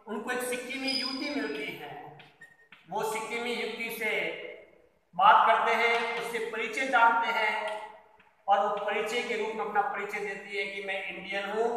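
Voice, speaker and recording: A man teaching a literature lesson, repeating his key phrases so they sink in. His voice sits high and sounds bright and sharp. He stands well back from the mic, and the large room rings with a long, echoing reverb. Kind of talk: Hindi literature lesson explanation. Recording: very echoey hall, far from mic.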